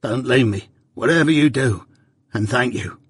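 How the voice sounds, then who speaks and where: A man's voice: medium pitch, lively intonation, normal speed, slightly rough, no echo. A man voicing a dying, ghost-haunted male convict who raves in frightened rustic dialect. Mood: weak, pleading. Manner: terrified, raving pleas in rustic dialect.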